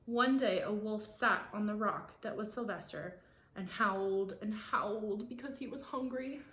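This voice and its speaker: A woman reading a picture book aloud to children, expressive, with explanatory asides for young listeners. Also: mid-range voice, measured pace, roomy sound, a little hiss or hum. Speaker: read-aloud storyteller.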